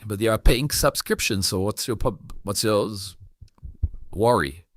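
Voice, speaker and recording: A man hosting a casual chat, speaking haltingly and repeating short phrases. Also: lowish voice. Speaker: podcast host.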